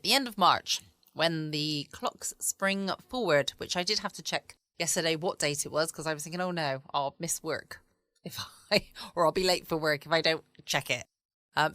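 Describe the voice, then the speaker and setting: A woman's voice: lowish voice, bright, forceful tone, no echo. An English woman hosting a bedtime podcast from her home, rambling in a chatty, friendly way. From England. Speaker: podcast host. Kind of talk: casual chat.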